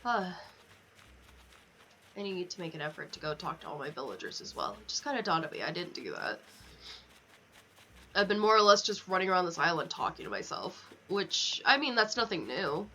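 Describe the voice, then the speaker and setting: A woman's voice: lowish voice, very animated, moderate pace, long pauses, bright, forceful tone, a little hiss or hum. A young woman chatting casually on a game livestream, candid and rambling, swearing freely as she goes.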